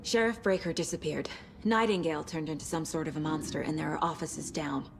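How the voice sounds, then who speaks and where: A steady, level-headed female federal agent in a video game. Her voice sits a little low and stays calm and focused. Faint ambient sound hangs behind her. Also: a little fast, background noise. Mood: urgent. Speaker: FBI agent investigating murders. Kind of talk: game voice acting.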